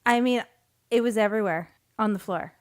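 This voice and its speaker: A woman's voice: a little high, fairly quick. A woman co-hosting a podcast in casual chat, tired and sleepy, often giggling sheepishly. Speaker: podcast co-host. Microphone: podcast microphone.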